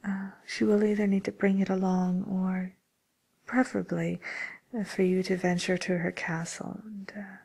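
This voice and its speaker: A woman playing a genteel, businesslike matchmaker for villains in a whispered ASMR role play, murmuring politely and hesitantly in a breathy voice. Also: mid-range voice, level tone, normal speed, a little mellow. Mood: politely helpful.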